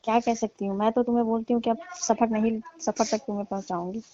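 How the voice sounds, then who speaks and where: A woman's voice: a little high, little pitch movement, fast, warm tone, lightly acted. A woman playing a teasing, mock-annoyed middle-aged auntie chatting casually and coyly on a phone call. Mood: mock scolding. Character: scolding, coy neighbourhood auntie. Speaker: caller in a staged comic phone call. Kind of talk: casual flirtatious phone chat. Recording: dull lo-fi phone-call audio.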